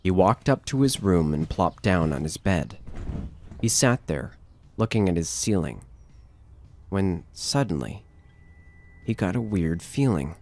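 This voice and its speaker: A man's voice: low-pitched, expressive, measured pace, pauses often, darkish tone. A man narrating a scripted horror story as the narrator of an audio drama.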